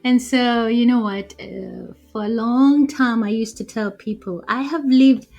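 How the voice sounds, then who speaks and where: A woman's voice: on the high side, fairly even intonation, measured pace, a little mellow. A woman in her forties from Kenya with a Kenyan accent, chatting as an interview guest and telling her story with frequent laughter. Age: 40s. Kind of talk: casual interview conversation.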